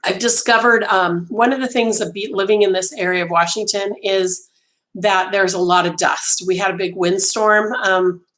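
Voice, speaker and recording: A woman's voice: mid-range voice, fairly even intonation, moderate pace, a bit bright. Chatty woman with a fading voice. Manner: chatty, many ums and restarts, talks with her hands. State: losing her voice. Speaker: livestream host. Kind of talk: casual chat with live viewers. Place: small home craft room. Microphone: webcam.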